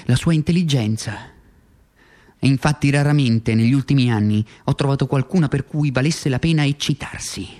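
A man's voice: medium pitch, moderate pace, darkish tone, lightly acted. A male stage actor playing a bitter, worn-out communist in a theatrical radio monologue, impassioned and sarcastic, swinging between muttering and crying out. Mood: sardonic. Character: desperate, bitter, worn-out communist man ranting.

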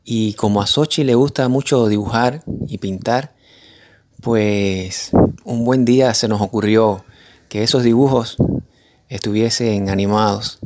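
A man with a Cuban accent giving explanatory interview answers in short, measured phrases, in a conversational style. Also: on the low side, darkish tone. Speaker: interview guest, musician and composer.

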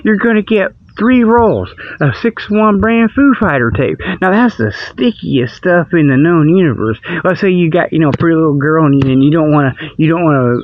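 A man's voice: a little high, expressive, moderate pace, few pauses, no echo. Folksy American man, muffled lo-fi sound. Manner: folksy, colloquial, repeats himself, loses his thread. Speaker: host of a comedic radio-style show. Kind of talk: rambling, improvised comic monologue. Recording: dull lo-fi radio-like sound.

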